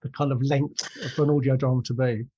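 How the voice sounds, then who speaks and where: Male podcast host, husky, informal and slightly hesitant interviewer; dull, lo-fi recording. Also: mid-range voice, level tone, a little fast, warm tone. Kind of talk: conversational interviewing. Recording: dull, lo-fi, dry sound.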